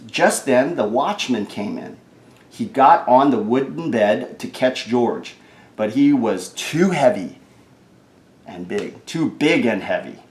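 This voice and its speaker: A man reads a children's storybook aloud at an unhurried pace. He slips between the written story and chatty comments on the pictures. His middle-pitched voice sounds in a roomy, echoing space. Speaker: storybook reader. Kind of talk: animated read-aloud of a children's picture book with casual asides.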